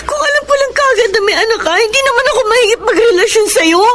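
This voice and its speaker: A woman's voice: very high-pitched, lively intonation, fast talker, fairly bright tone, slight background noise. An actress playing a heartbroken young woman, crying and tearful, reproaching her lover. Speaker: radio drama actress. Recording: radio drama over a music bed.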